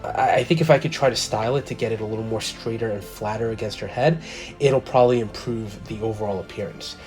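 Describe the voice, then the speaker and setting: A man hosting his own collector channel, talking through a careful review in a measured, methodical way, a little stuffy from a cold. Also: on the low side, darkish tone, soft background music. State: slightly congested from a cold. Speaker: toy collector channel host. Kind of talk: scripted product review voice-over.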